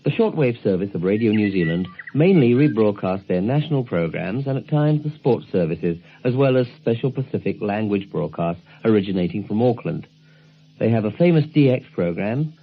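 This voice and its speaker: A man presenting a radio programme, giving measured, informative scripted narration. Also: on the low side, expressive, slightly rough. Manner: measured, informative announcer delivery. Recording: tinny narrow-band 1970s shortwave broadcast recording.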